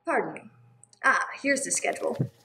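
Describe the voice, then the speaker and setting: Woman playing a gentle, earnest nun, noisy recording. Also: a little high, lively intonation, normal speed. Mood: earnest, helpful. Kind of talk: radio play acting. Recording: clear background noise, room ambience.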